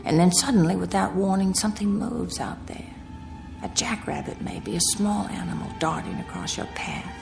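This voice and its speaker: A woman's voice: medium pitch, moderate pace, vocal fry, music in the background. An actress playing a weary, anxious young woman motorist in a radio drama, uneasy and confiding. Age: 20s. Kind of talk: radio drama acting, confiding narration and dialogue.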